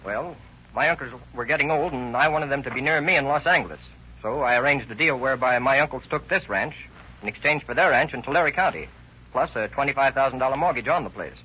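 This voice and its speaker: Brisk, husky, impatient man. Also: medium pitch, bright, forceful tone. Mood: dismissive. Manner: blunt, dismissive. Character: brisk, impatient male heir of murdered rancher. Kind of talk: 1930s radio acting. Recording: dull old-time radio recording.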